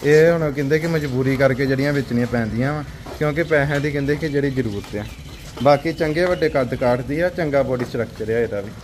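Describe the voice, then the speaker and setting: Brisk Punjabi-accented man selling buffaloes. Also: a little mellow, a little hiss or hum. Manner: brisk, asks prices then pitches to viewers. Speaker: livestock dealer showing buffaloes for sale. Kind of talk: casual on-site sales walkthrough.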